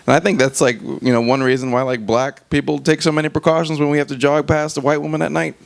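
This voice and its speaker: A man performing conversational stand-up at a live open mic, animated and sweary, often checking in with the audience using short questions. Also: fairly high pitch, fast. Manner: animated, swearing, frequent rhetorical check-ins to the crowd. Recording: clearly noisy live open mic recording.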